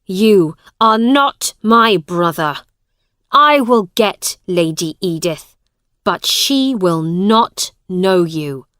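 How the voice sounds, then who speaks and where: A woman's voice: fairly low pitch, animated, drawn-out words, clean tone, no echo, studio quality. A woman reading a storybook, voicing a harsh, angry man who gives orders. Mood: stern. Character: harsh, angry grown man, a usurping brother.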